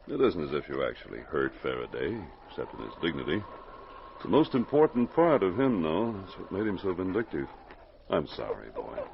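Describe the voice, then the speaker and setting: An actor playing a kindly, steadfast Mountie sergeant in a measured, gentle 1940s radio style. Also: male voice, deep voice, gravelly, exaggerated voice. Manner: measured, gentle, plain-spoken. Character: kindly, steadfast Mountie sergeant, grown man with his dog. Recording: muffled, noisy old 1940s radio recording.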